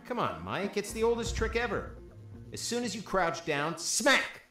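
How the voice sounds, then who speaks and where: A man playing a jokey soldier on guard duty in a video game, telling gags in an animated way, with an echo on the recording. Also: sits high, normal speed. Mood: knowing, amused. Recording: echoey, loud game music behind.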